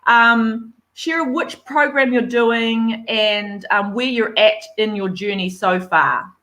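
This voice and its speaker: A woman's voice: fairly high pitch, takes it slowly, fairly bright tone. A woman hosting a live online chat over a video call, upbeat and encouraging. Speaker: host.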